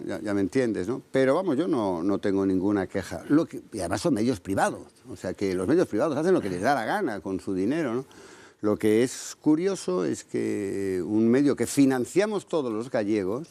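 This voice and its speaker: A man from Galicia speaks in a low, dark voice. He answers a TV interviewer in a measured way, often closing his points with a questioning tag. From Galicia, Spain. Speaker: interviewed candidate.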